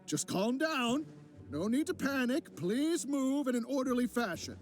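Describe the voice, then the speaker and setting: A man's voice: very high-pitched, very animated, a little slow, faint music behind, full character voice. A man playing an irritated, defensive event organizer in an anime game, exasperated and dismissive. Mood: exasperated, controlling.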